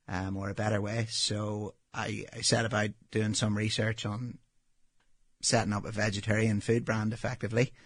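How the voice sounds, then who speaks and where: Husky Northern Irish man, low flat voice. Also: dry recording. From Northern Ireland. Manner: relaxed, matter-of-fact storytelling with frequent ums. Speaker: restaurant owner. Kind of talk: interview answers.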